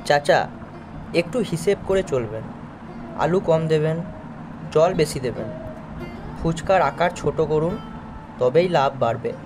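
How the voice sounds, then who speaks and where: A man's voice: fairly high pitch, expressive, unhurried, long pauses, over music, low-quality recording. Man as a pushy, calculating cartoon businessman. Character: profit-minded city businessman, pushy and calculating. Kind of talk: moral cartoon voice acting.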